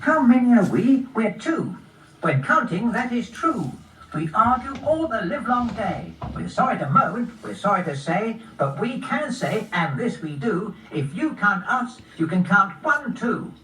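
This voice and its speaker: A woman's voice: mid-range voice, expressive, normal speed, a bit bright, voice pushed up, natural voice. A woman voicing squabbling young rabbit siblings in a children's story, argumentative and contrary. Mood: singsong. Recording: roomy, distant, faintly noisy recording.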